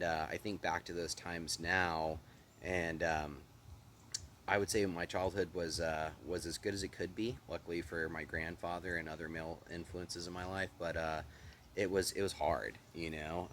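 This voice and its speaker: Guy in his 30s, low voice, laid back and rambling, bit of faint background hum. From Austin, Texas. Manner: relaxed, rambling, says "like" and "you know" a lot. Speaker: interviewee. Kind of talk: casual conversational storytelling.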